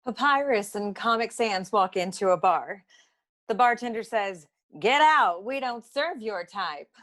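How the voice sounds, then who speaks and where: A woman's voice: medium pitch, expressive, fairly bright tone. An actress playing a blunt, no-nonsense woman who works in a detective's office, in a noir comedy radio play. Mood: competitive. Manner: brisk, blunt. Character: exasperated, no-nonsense female office associate of a detective. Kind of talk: comic noir radio acting.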